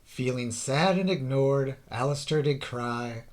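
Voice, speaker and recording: A man narrating a rhyming children's story in a theatrical, deliberate way, drawing out the ends of phrases. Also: mid-range voice. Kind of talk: dramatic scripted children's story narration in rhyme.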